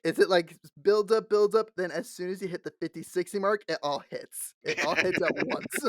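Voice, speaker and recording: A man's voice: high voice, normal speed, fairly bright tone, no echo. A man in his late twenties, co-hosting a podcast in casual chat, laughing often and acting out the people he quotes. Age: late 20s. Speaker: podcast co-host.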